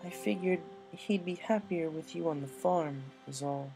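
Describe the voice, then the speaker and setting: A kindly middle-aged mare who keeps a shop played by a woman reading a story. The voice is lifted and motherly, speaking gently and with sympathy. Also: on the low side, a little slow, over music, pitched above the performer's own voice. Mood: gentle, sympathetic. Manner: gentle, sympathetic, uses endearments.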